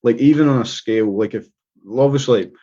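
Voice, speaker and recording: A man's voice: mid-range voice, brisk, dark tone. A man from Scotland with a Scottish accent, a guest talking casually in an interview, rambling with many restarts and fillers. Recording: dull lo-fi sound.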